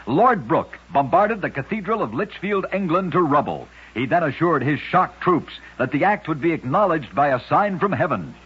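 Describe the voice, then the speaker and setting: A man's voice: fairly high pitch, big pitch swings, normal speed, few pauses, husky. A man reading scripted short stories for a radio show with an emphatic, dramatic storytelling delivery. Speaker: radio narrator of oddity stories. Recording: dull lo-fi old radio recording.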